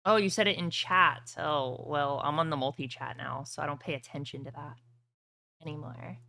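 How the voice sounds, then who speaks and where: A woman's voice: sits low, moderate pace, gravelly, sharp tone. A woman hosting a tabletop fantasy game as game master, moving between casual chat and scripted storytelling. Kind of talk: casual table talk and dramatic scripted fantasy recap narration.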